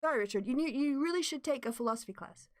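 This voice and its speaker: Woman, livestream host, fairly high voice, explains and opines with restarts, dry close sound. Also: lively intonation, rapid-fire, dry recording. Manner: thinks aloud with restarts, explanatory and opinionated. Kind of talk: casual livestream chat, reading viewer comments.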